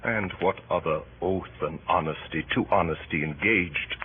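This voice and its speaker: An actor playing a grave, idealistic Roman conspirator in a vintage radio Shakespeare production, speaking in measured oratory. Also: male voice, sits low, lightly acted. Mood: fervent, resolute. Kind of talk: 1930s-40s radio Shakespeare acting. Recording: noisy, narrow-band old radio recording.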